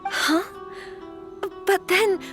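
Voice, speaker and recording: A woman voicing a genteel young noblewoman in a video game, soft-spoken, polite and hesitant. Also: very high voice, fairly even intonation, drawn-out words, soft background music, exaggerated voice. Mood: worried. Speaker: young noble lady engaged to be married.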